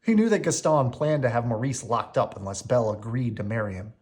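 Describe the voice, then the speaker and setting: A man reading a children's bedtime story aloud with expressive narration. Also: medium pitch, brisk. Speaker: storybook reader.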